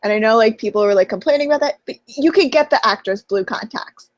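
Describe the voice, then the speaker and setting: A woman's voice: on the high side, quick pace, dry recording, dull audio. A young woman co-hosting a casual TV recap chat, talkative and self-deprecating, with plenty of fillers. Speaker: co-host.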